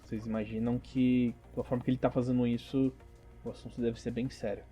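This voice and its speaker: A man's voice: mid-range voice, level tone, fairly quick, husky, dull audio. Male game master narrating over music. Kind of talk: narrating a tabletop role-playing game.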